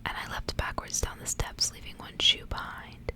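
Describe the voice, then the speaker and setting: Whispering woman reading ASMR. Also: normal speed. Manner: whispering throughout, soft and breathy. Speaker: ASMR reader and channel host. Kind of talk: whispered ASMR storybook reading with casual asides.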